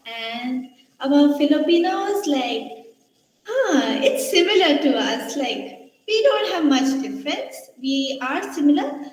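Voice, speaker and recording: Indian woman interviewee, high and bright-toned, cheerful, recorded in a reverberant room. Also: big pitch swings, takes it slowly. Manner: cheerful, effusive, sprinkled with "you know". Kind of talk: casual interview answers. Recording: echoey room with long reverb.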